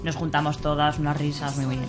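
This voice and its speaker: A woman's voice: low voice, level tone, fairly quick. A woman with an accent from Spain, chatting informally and jokily as a guest player in a radio interview. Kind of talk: casual chat. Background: music.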